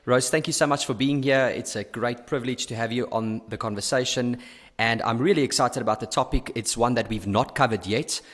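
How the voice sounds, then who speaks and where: A man's voice: medium pitch, brisk. Upbeat podcast host man with reverb. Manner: upbeat, effusive, hesitant restarts. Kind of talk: podcast interview.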